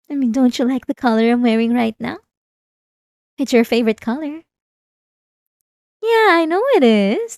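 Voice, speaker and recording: Slow, eerily calm obsessive woman patient, acted by a woman. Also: a little high, dry recording, clean studio sound. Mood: possessive, coy. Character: obsessive, manipulative female therapy patient, eerily calm and menacing. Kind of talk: ASMR roleplay monologue.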